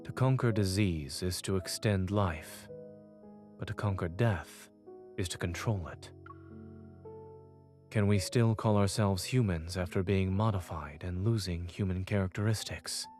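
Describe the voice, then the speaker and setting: Deep-voiced, composed male doctor. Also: faint music behind. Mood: calm, authoritative. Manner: steady, composed, measured. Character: calm authoritative male doctor, brilliant surgeon and lecturer. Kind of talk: scripted game voice acting.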